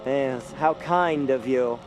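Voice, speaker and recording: An actor playing an eccentric, haughty sculptor haunted by dreams, in a theatrical, brooding radio drama style. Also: male voice, mid-range voice, takes it slowly, background noise, natural voice. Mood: brooding, sardonic. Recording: loud music behind the voice. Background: music.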